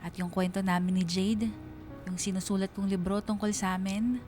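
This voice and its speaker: A woman's voice: medium pitch, level tone, moderate pace, close to an everyday voice. A woman playing a reflective, bereaved young woman narrating her own love story in a radio drama. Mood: sad, reflective. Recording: music behind the voice.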